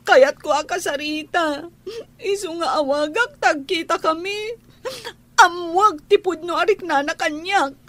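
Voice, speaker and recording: A woman's voice: very high voice, unhurried, a bit bright. An actress in an Ilocano radio drama playing a young woman, speaking tearfully and pleadingly. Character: young woman Angie, heartbroken over her love.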